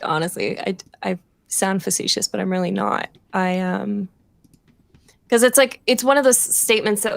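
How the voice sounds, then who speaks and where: A woman speaks casually on a live stream with a gravelly, creaky edge. Her delivery is earnest and reflective, stopping and starting, in a dry acoustic. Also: mid-range voice, fairly bright tone, dry recording. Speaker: member of a theatre production.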